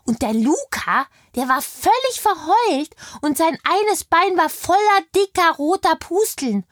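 A woman's voice: very high-pitched, big pitch swings, unhurried, dry recording, studio quality, heavily acted. A woman voicing a chatty, indignant little kindergarten girl in a children's audio play, telling things with childlike eagerness. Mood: eager.

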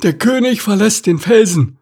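A simple, eager-to-serve ostrich from a children's tale. The voice sits far up in pitch and has a creak, with each report delivered earnestly and literally. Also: male voice, expressive, moderate pace, darkish tone, exaggerated voice. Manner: earnest, literal-minded announcing. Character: dutiful, simple-minded male ostrich lookout. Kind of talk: children's storybook character voice.

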